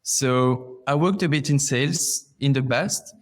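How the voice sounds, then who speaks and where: A man from Belgium speaks at a medium pitch as a podcast guest. He gives his interview answers in a conversational way. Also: a little slow. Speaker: podcast guest, customer success manager.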